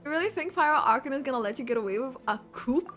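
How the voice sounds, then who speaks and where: A woman giving casual live reactions to a video game, excitable and exclamatory, stretching out words, with game music behind. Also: sits high, racing.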